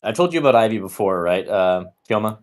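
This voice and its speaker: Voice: medium pitch, animated, fast. Someone chatting casually during an online tabletop roleplay session. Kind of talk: casual chat.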